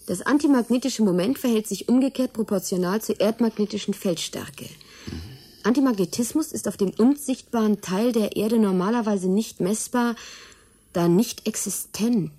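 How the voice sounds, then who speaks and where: A curious woman investigator in a radio drama, played by an actress. Her voice sits at an average pitch. She sounds inquisitive and incredulous, in natural conversational dialogue. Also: dark tone, lightly acted.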